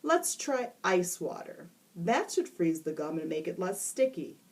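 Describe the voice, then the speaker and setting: A sensible schoolteacher, level and unhurried , as a woman reads her lines. She speaks at an ordinary pitch, with only a little lift, and the voice sounds somewhat distant from the mic. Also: very animated. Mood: matter-of-fact. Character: calm, matter-of-fact woman schoolteacher. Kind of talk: storybook reading.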